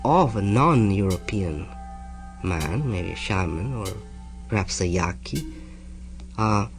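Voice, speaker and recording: A man gives an unscripted explanation in a fairly low voice. He speaks very slowly, haltingly searching for words and repeating them. Music plays faintly behind him. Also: lively intonation. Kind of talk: spontaneous explanatory talk.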